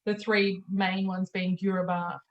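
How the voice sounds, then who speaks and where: A woman hosting an interview, friendly and quick to laugh as she asks questions. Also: fairly low pitch, level tone, dull audio. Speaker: host. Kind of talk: conversational interviewing.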